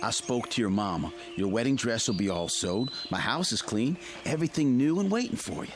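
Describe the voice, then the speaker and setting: An actor playing an ardent, lovesick young country suitor with a Southern US accent, pressing and jealous, in a radio drama. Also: male voice, mid-range voice, expressive, brisk, music in the background, close to an everyday voice. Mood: pressing, hurt. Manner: impassioned, pressing.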